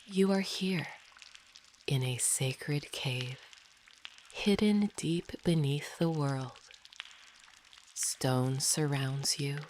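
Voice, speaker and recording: A woman guides a meditation in a soothing, hushed, often near-whispered delivery. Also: sits low, drawn-out words, long pauses, slight background noise. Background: dripping, pouring water sounds.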